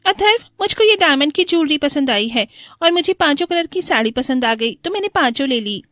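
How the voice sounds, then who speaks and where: Woman putting on a shrill, haughty rich young daughter-in-law. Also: lively intonation, a little fast, fairly bright tone, no echo, studio quality. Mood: demanding. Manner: scornful, demanding, bossy. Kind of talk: cartoon moral story voice acting.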